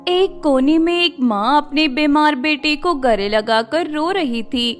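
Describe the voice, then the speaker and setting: A woman's voice: high-pitched, slow, soft background music. A woman narrator reading a scripted fairy tale for children.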